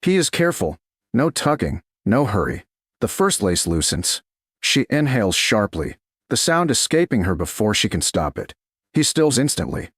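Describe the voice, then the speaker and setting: A man reading a slow-burn romance story aloud in a slow, hushed, deliberate manner. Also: lowish voice, sing-song, breathy edge, dry recording, studio quality. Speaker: narrator. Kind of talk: scripted romance narration.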